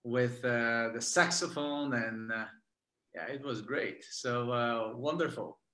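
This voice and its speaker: A Bulgarian man, not a native English speaker, talks slowly and haltingly, filling pauses with ums and little laughs. His voice is bright and forward. The room around him echoes. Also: mid-range voice. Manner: hesitant, many fillers, laughs often. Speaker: guest. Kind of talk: interview conversation.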